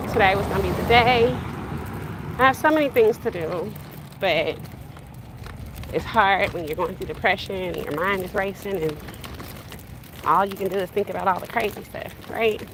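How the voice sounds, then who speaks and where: A woman's voice: medium pitch, a little slow, long pauses. Woman in her thirties rambling on a walk. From Bahamas. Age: 30s. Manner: rambling, conversational, addresses viewers directly. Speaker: livestreamer. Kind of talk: casual livestream chat while walking. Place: outdoors on a cobblestone street, walking with a stroller. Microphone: handheld phone. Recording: street noise outdoors.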